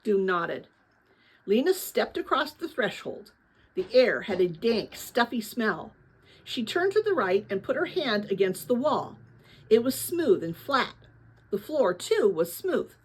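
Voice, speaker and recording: Female storybook reader, slightly high and breathy-husky, lively melodic range, dramatic read-aloud for kids. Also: poor audio. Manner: expressive, pauses to address young listeners with questions. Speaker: read-aloud narrator of a children's novel.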